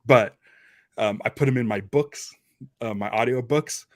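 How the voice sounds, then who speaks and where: A man's voice: mid-range voice, measured pace. A man chatting informally as a podcast panelist, talking in a loose, conversational way. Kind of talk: casual chat about a radio drama.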